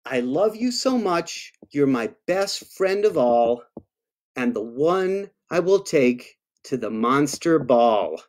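A doting, gross-minded monster sweetheart from a children's picture book, as a man reads its lines aloud. It recites its rhymes slowly, in a sing-song way, with a fond, warm manner. Its voice sits in a middle pitch. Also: big pitch swings. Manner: slow, sing-song rhyming delivery.

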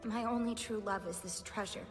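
An actress playing a cynical, sharp-tongued young woman rogue in an animated film, speaking bluntly and sardonically. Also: mid-range voice, moderate pace, natural voice. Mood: hurt, bitter. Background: faint music.

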